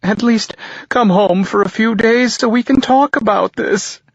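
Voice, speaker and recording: A man voicing a middle-aged mother on the phone, crying and pleading in a choked, high voice, in an audiobook. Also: measured pace, creaky, no room sound, exaggerated voice. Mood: tearful pleading. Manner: pleading, insistent. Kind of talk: audiobook character voice. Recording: dull lo-fi audio.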